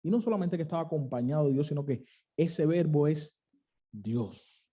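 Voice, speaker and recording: A young man with a Cuban accent preaching an earnest sermon, pausing to stress key phrases. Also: a little high, expressive, warm tone, highs cut off. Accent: Cuban, western coastal. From Cuba.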